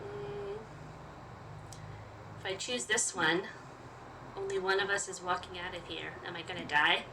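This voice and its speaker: A woman's voice: mid-range voice, lively intonation, unhurried, creaky, long pauses. A woman hosting a gaming video, reading visual novel narration aloud and adding casual, playful asides. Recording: slightly distant mic, faint noise.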